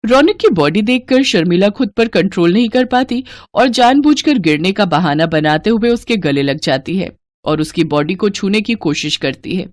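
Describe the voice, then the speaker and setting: A woman's voice: medium pitch, animated, moderate pace, hardly any pauses, dry recording. A woman storyteller reading a scripted narration of a moral tale.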